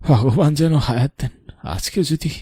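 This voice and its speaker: A man voicing a protective, weary old grandfather in a cartoon, sharp-tongued but affectionate, with a creaky aged voice. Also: fairly high pitch, moderate pace, dry recording, clean studio sound. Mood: weary, worried. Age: older. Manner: scolding, then tender storytelling. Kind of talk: cartoon voice acting.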